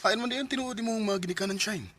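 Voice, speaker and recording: A man's voice: sits high, fast talker, fairly bright tone. A man acting an earnest, tender, hesitant suitor in a radio drama. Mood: curious, tentative. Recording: music behind the voice.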